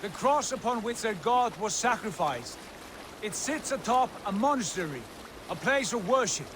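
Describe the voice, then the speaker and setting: An actor playing a wary, commanding Viking leader in a video game cutscene, measured and authoritative. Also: male voice, high voice, lively intonation, background noise. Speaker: leader of a raiding crew. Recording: loud music and water behind dialogue. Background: game music, water, boat sounds.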